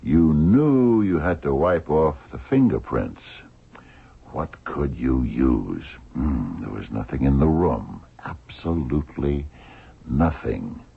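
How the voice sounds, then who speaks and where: An actor playing a shrewd, relentless detective in an old radio drama, probing with deliberate questions and pauses in a gravelly voice. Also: male voice, rumbling low, expressive, heavily acted. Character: shrewd, blind male police detective, calmly relentless. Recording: muffled, narrow-band old radio recording.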